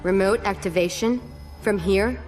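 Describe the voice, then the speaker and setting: A woman playing a brisk military officer in a video game, terse, probing and insistent. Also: mid-range voice, lightly acted. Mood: alarmed probing. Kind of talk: video game cutscene acting. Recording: echoey with music behind.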